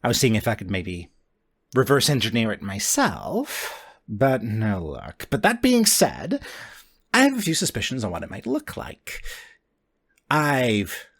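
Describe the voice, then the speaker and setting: A man voicing an intense, obsessive four-armed character in a tabletop game, with deliberate, theatrical phrasing. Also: mid-range voice, huge pitch swings, a bit bright, slightly rough, exaggerated voice. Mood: thoughtful, sheepish. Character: intense, obsessive four-armed gamer, exasperated yet sheepish.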